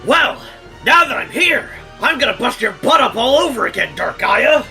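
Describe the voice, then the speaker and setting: A man's voice: extremely high pitch, very animated, normal speed, gravelly, music in the background, exaggerated voice. A man voicing a brash but caring heroic hedgehog in an audiobook drama, speaking earnestly. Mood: brash, defiant. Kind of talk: fan audiobook voice acting.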